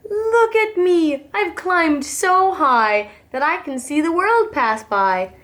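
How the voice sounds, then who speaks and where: A woman's voice: extremely high pitch, lively intonation, takes it slowly, a bit bright, pitched above the performer's own voice, full character voice. A woman voicing a shy, earnest, lovesick male slug in a children's storybook, speaking in singsong rhyme. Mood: excited, yearning. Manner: earnest, singsong rhyming verse.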